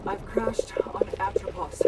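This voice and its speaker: An actress playing a composed, serious stranded astronaut in a video game, reporting in measured, clipped phrases. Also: female voice, mid-range voice, whispered, close to an everyday voice. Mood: grave, composed. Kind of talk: video game cutscene acting, radio log report. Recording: distant, buried under loud vehicle-like hum.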